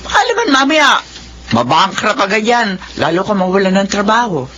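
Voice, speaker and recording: A middle-aged mother talks in a mid-pitched, practical, worrying way, like a scolding elder sister. The Filipino radio drama recording is slightly muffled, with faint noise. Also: big pitch swings, normal speed, fairly bright tone. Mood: scolding, worried. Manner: scolding, down-to-earth.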